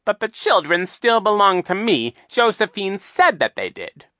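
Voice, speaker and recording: A shrill, gloating villain count, swinging wildly up and down in pitch. The voice is bright and sharp, recorded close and dry with no room around it. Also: male voice, big pitch swings, unhurried, dry recording, exaggerated voice. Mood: evasive. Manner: theatrical, whiny false joy then gloating growl. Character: scheming villainous male count, boastful.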